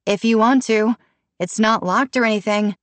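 A woman's voice: on the high side, moderate pace, no echo, voice pushed up, lightly acted. A woman narrator playing a sullen, evasive daughter who talks back to her mother in an audiobook. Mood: reluctant.

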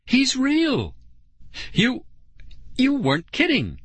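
An excitable young girl acted by a man in a children's audiobook, pitched well up and bright. The voice squeals and exclaims breathlessly, swooping with awe and glee. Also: slow, slightly rough, dry recording, exaggerated voice. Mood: scared, amazed. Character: excitable, shrieking young girl, awestruck and gleeful.

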